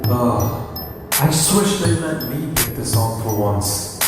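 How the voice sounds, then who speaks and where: A meek young boy, voiced by a storyteller, nervous and earnest. He speaks in a low-pitched, hesitant way, apologetic and downtrodden, in an audio comedy. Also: lively intonation, takes it slowly, over loud music. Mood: glum.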